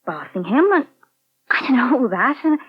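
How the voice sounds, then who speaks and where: A gently anxious, distracted young English waitress in a vintage British radio soap. Her voice is high and husky-edged, with a faltering, hesitant delivery. The recording sounds slightly muffled. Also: very animated, normal speed. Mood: awkward, anxious. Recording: dull, band-limited old radio recording.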